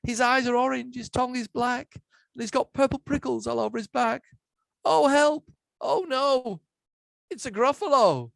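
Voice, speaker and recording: A performer voicing a small, quick-witted, boastful mouse in a theatrical, exclaiming storybook style. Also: mid-range voice, huge pitch swings, dry recording, pitched above the performer's own voice, heavily acted. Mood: alarmed.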